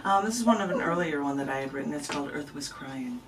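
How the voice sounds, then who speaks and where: A woman's voice: sits low, expressive, quick pace. A woman poet on a radio show, reading her poems and chatting casually about them as a guest. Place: radio studio, recorded on a camera. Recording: noisy, distant camera recording in radio studio.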